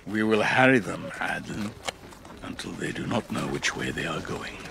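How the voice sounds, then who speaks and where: An actor playing a cold, patient enemy commander in a radio drama, menacing and calculating. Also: male voice, deep voice, animated, normal speed, creaky, heavily acted. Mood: quiet menace.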